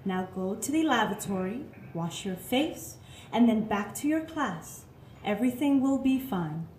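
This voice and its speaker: Woman playing a soft, high-voiced consoling young nun. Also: expressive, measured pace. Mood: soothing. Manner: soothing, reassuring. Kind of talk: live stage radio theatre acting. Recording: roomy live stage, clear background noise.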